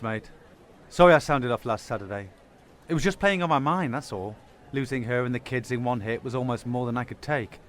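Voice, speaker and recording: Actor as blunt, wounded British footballer, mid-pitched. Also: male voice, fairly bright tone, natural voice. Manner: blunt, colloquial. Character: wounded, hot-tempered male Sunday-league footballer. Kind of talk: full-cast sci-fi audio drama.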